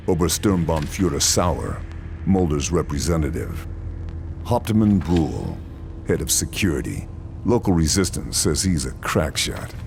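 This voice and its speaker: A man's voice: extremely low pitch, gravelly, darkish tone, slight background noise, heavily acted. An actor playing a tough male sniper who talks in a dry, matter-of-fact way. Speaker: soldier. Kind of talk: game cutscene narration.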